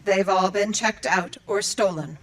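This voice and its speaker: A woman's voice: mid-range voice, a little slow, lightly acted. A woman playing a dutiful, earnest android librarian in an audio drama, speaking in formal, precise, procedural phrases. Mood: matter-of-fact. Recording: music behind the voice. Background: music.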